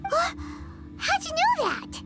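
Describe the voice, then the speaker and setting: Tiny chatty game fairy, extremely high squeaky childlike voice, very animated, exclamatory, music behind. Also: female voice, slow pace, exaggerated voice. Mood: curious. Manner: speaks of self in third person, exclamatory. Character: small childlike female fairy companion, chatty, excitable and cheeky. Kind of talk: video game character acting.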